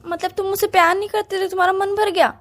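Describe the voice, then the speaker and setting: A woman's voice: extremely high pitch, level tone, fast, soft background music. A woman with an Indian accent playing a hurt, apologetic young student girlfriend, pleading in a phone-call romance drama. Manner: pleading, plaintive.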